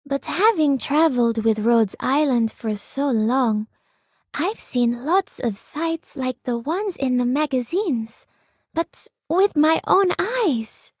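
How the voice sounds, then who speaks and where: A woman voicing an earnest, serene young female traveler in a game, measured and wistful. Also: sits high, lively intonation, a little mellow, no room sound, clean studio sound. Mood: reflective, hopeful. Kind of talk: game character acting.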